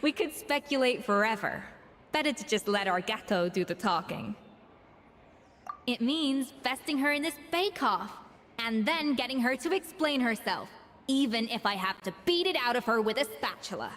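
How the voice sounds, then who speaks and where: A woman's voice: on the high side, animated, moderate pace, a bit bright, in a large hall, slight background noise. A woman voicing a composed female head chef who speaks in a matter-of-fact, dryly dismissive way in a game.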